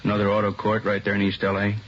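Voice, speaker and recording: An actor playing a brisk, folksy police officer reporting in an informal, laconic 1950s radio drama manner. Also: male voice, lowish voice, completely flat delivery, a bit bright, natural voice. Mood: matter-of-fact. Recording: muffled, noisy vintage radio recording.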